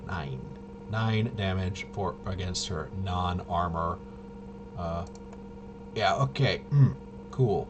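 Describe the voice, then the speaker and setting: A man's voice: sits low, slow, long pauses, noisy background. A man giving casual play-by-play of a tabletop roleplaying game, muttering and thinking aloud as he goes. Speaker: solo tabletop game player and host.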